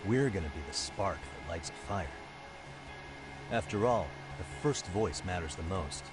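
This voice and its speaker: Very deep-voiced, level-headed detective. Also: male voice, expressive, a little slow, over music. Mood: earnest, determined. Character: level-headed male private detective plotting against bullies. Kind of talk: video game dub acting.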